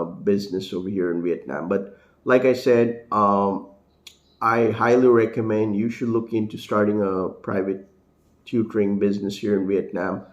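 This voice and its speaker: A man's voice: on the low side, roomy sound. A man from India with a neutral American accent, an English teacher talking casually to camera, rambling with lots of fillers and restarts. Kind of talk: casual talking-head vlog.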